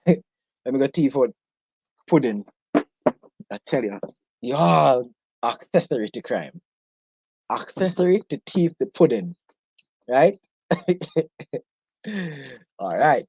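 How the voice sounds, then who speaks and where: A man's voice: mid-range voice, a little mellow, breathy edge. A man with a Jamaican accent hosting a casual live discussion, joking and laughing often with the viewers. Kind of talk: casual live-stream chat. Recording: dull lo-fi sound.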